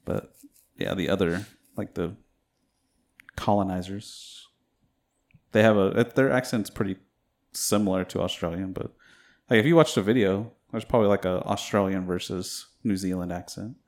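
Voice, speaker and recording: A young man with a fairly low voice talks in a loose, rambling way, trailing off and filling gaps with "like" as he chats among friends. He hesitates often while searching for words. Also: moderate pace. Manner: hesitant, rambling, lots of fillers. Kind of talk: casual chat among friends.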